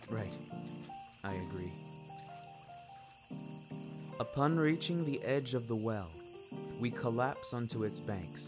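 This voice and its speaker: A man narrating a scripted first-person fiction podcast in a measured, literary, deliberate way. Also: fairly low pitch.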